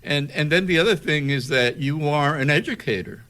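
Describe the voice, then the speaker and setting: Halting man with a higher-pitched voice. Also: bright, forceful tone. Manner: halting, often repeats words mid-sentence. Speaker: radio interview host. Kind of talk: casual conversational interviewing.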